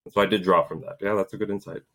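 Low-voiced man in his thirties, earnest guest storyteller, reflective, laughs now and then. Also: steady tone, very fast, fairly bright tone. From Poland. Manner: earnest, reflective, laughs while telling stories. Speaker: guest, Green Beret and author. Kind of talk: casual interview storytelling.

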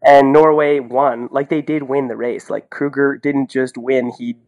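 Male podcast co-host, Canadian. Medium pitch, colloquial and animated delivery, outspoken casual sports analysis. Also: unhurried. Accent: Canadian English. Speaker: podcast co-host and ski commentator.

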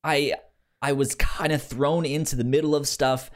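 A man hosting a podcast in casual chat, talking haltingly with repeated false starts. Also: fairly high pitch, flat delivery. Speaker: podcast host.

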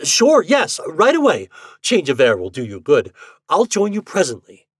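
Male reader as flustered comic husband, blurting, indignant, husky, very lively pitch, storybook style. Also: normal speed, dry recording, clean studio sound. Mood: frantic, flustered. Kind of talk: storybook character voice.

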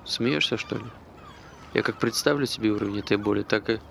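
A man's voice: lowish voice, fairly quick, creaky, fairly bright tone. An actor playing an anxious, self-deprecating man, talking hesitantly and earnestly in naturalistic stage dialogue. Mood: nervous, confessional. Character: anxious, self-deprecating man recounting his failed suicide. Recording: faint background noise.

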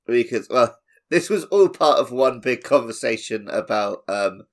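A man's voice: medium pitch, animated, a little slow. A man co-hosting a podcast in a casual, jokey and informal way. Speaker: podcast co-host. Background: music.

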